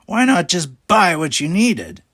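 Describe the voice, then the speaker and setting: A man's voice: sits high, very animated, measured pace, fairly bright tone, no room sound, pitched above the performer's own voice, full character voice. A man plays a menacing female alien pirate captain from a sci-fi audiobook. She is scornful and demanding, drawing out her words in a raised, mocking voice. The sound is dry and close. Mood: suspicious. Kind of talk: audiobook character voice.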